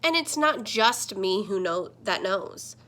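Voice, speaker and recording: Storybook talking computer screen, read by a woman: bright, unhurried delivery, admonishing mentor, emphatic. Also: mid-range voice, animated. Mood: stern warning. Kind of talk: children's picture book read-aloud.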